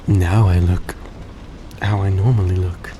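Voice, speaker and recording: A man playing a suave, tender centuries-old vampire in a roleplay audio drama, speaking in a soft, coaxing, genteel way to the listener. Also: low voice, a little slow. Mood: earnest, grateful. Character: suave, gracious centuries-old male vampire, young-looking, tender and grateful. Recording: steady background noise, vehicle-like ambience.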